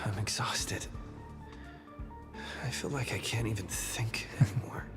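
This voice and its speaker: A man playing a weary, out-of-place video game character in a breathy whisper. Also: fairly low pitch.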